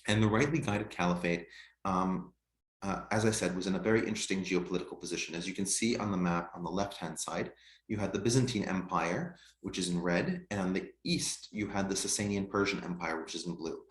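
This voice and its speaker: A man giving an online history lecture, explaining in a measured way to his class. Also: low voice, echoey room.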